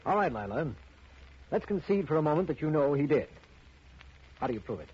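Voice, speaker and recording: An actor playing a patient district attorney in a 1940s radio drama, formal and dryly wry. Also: male voice, fairly high pitch, sing-song, brisk, darkish tone, husky. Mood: dry, skeptical. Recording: muffled, noisy old radio recording.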